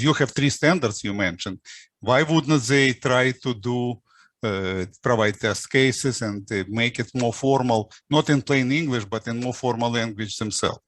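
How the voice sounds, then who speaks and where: A man moderating a technical discussion, putting questions and examples to the speakers in halting phrases. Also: fairly low pitch, no room sound. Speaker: session moderator.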